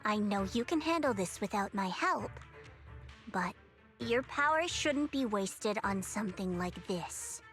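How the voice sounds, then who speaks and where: An actress voicing a calm, resolute female judge in a game, measured and unyielding. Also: medium pitch, soft background music. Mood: cold. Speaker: heroine in a game cutscene.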